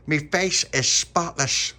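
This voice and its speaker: A man's voice: on the high side, slow, pushed and bright, voice pushed up, heavily acted. A man voicing a chirpy, good-natured young boy in a storybook. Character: cheerful young boy, jam on his cheek.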